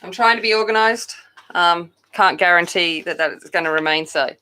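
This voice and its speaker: A woman hosting a coloring channel, chatting loudly and casually with viewers while she colors, often poking fun at herself. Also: on the low side, normal speed, low-quality recording. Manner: loud, chatty, self-deprecating.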